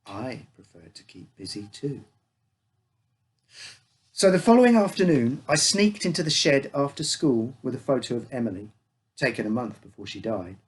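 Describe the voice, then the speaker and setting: A man's voice: mid-range voice, animated, moderate pace, slightly rough. A man reading a scripted uncanny story as its storyteller. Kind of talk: scripted narration of an uncanny tale.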